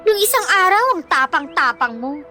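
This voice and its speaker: A woman's voice: high-pitched, expressive, normal speed, pushed and bright, faint music behind. A woman playing a mean, haughty teenage schoolgirl bully in a radio drama, taunting and sneering theatrically with a Filipino accent. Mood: sneering, demanding.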